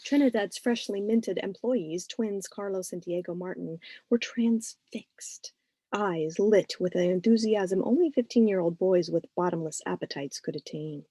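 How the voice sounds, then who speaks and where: A woman author reading her novel aloud as expressive scripted narration. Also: lowish voice, measured pace, no room sound.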